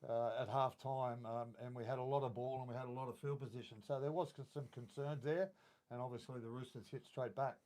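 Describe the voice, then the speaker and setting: An Australian man co-hosting a live sports podcast, with a croaky voice, talking passionately with lots of fillers. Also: mid-range voice, darkish tone. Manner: passionate, rambling with many fillers. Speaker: podcast co-host. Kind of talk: casual sports chat.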